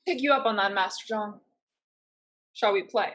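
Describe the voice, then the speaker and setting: A woman's voice: medium pitch, normal speed, a bit bright, natural voice. A woman acting a blunt, proud young female pirate fighter in a staged reading of a drama. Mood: cool challenge.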